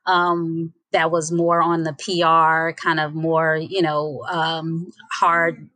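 A woman's voice: deep voice, level tone, takes it slowly, fairly bright tone, no echo. A woman guest storyteller in a podcast interview, talking casually and unhurriedly with many fillers.

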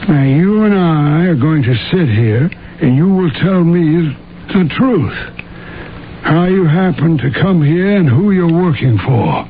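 An actor playing a wary, laconic older former pilot with menace in him, in an old-time radio drama. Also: male voice, a little high, animated, unhurried. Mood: quietly menacing. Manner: laconic, guarded. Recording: tinny, band-limited old radio recording, hiss.

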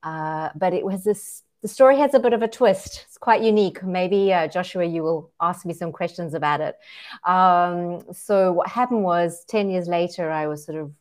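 A woman's voice: fairly low pitch, moderate pace, a little mellow. A middle-aged woman from South Africa with a mixed South African and Australian accent, speaking earnestly as an interview guest with many fillers. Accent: South African with Australian and French influence. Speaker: guest, activist. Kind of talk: interview, personal account.

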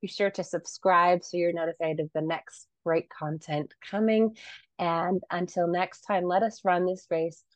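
A woman co-hosting a book podcast, wrapping up the show with a steady scripted-sounding sign-off. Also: fairly low pitch, measured pace, dry recording. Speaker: podcast co-host. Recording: dry, dull lo-fi mic.

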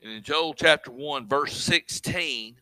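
A man preaching a sermon, describing his own voice as monotone, often repeating words as he restarts. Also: a little high, measured pace, smooth, dry recording, low-quality recording. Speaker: pastor.

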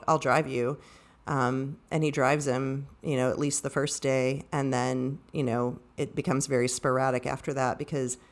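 A woman co-hosting a book discussion, thinking aloud in a casual, reflective way. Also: a bass voice.

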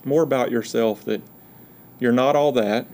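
A man's voice: mid-range voice, measured pace, a little mellow. A middle-aged man with a Georgia Southern accent, a university provost chatting as a podcast guest in a folksy, joking, frank way. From Georgia, USA. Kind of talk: casual interview chat.

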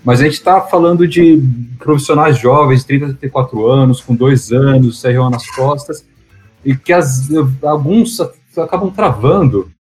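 A man as a podcast guest, explaining technical points in a relaxed, friendly way. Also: mid-range voice, moderate pace, faint music behind, poor audio. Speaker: podcast guest, digital specialist. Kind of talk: casual podcast chat.

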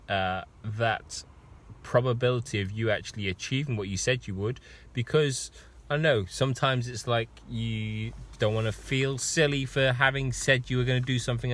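A hoarse man with a husky voice and a sore throat, a fitness coach talking casually to viewers with many pauses and ums. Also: medium pitch, a bit bright. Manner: rambling, with many ums and restarts. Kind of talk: casual live video talk.

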